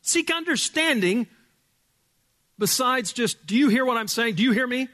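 A male pastor with a loud voice preaching a sermon in a church, emphatic, dropping from booming to hushed. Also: animated, moderate pace, in a large hall. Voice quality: loud speaking voice. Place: church sanctuary with sound board.

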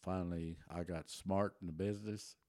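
A man's voice: deep voice, steady tone, normal speed, darkish tone, no echo, studio quality. An older man whose first language is German, telling stories as a podcast guest in a folksy, rambling way with many restarts and chuckles. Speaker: interview guest. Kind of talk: relaxed storytelling.

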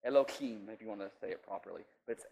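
A man's voice: fairly low pitch, fast talker, gravelly, a little mellow, a little hiss or hum. A man preaching an informal sermon in a folksy, conversational way, checking in with his listeners often. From rural US.

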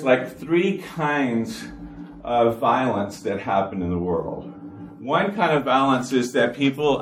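A man, giving an informal talk to a church congregation in a flat, even-toned delivery. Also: mid-range voice. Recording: echoey hall from far off with noise.

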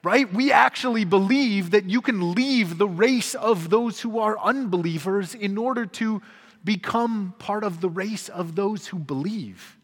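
A man's voice: sits high, measured pace, slightly rough. A pastor delivering an earnest, emphatic sermon to his congregation in a church. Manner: earnest, emphatic preaching with dropping endings. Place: church sanctuary. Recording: reverberant church hall.

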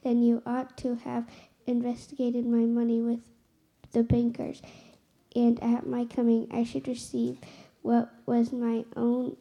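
Slow, very mellow woman reading scripture. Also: a little high, monotone, gravelly. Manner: measured, deliberate phrasing. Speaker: scripture reader.

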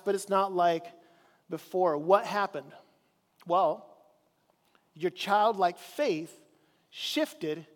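A man from Chile preaches in a relaxed, conversational way, slowing down and drawing out important words. His voice sits high for a man. The sound carries a long reverberant tail from a church hall. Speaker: pastor. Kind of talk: sermon. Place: church sanctuary.

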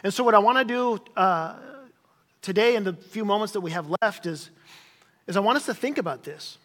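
A middle-aged male pastor delivers a sermon in a noticeably high voice. His delivery is conversational yet emphatic, often restating a phrase to make it stick. The long reverb of a large hall trails his words. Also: animated, fairly quick, a bit bright. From grew up in Chile as a missionary kid.

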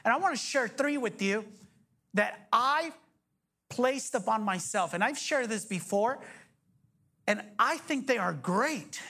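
A man in his forties preaching a sermon as pastor, energetic, joking and firing off rhetorical questions. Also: very high voice, a little slow, pauses often. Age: 40s.

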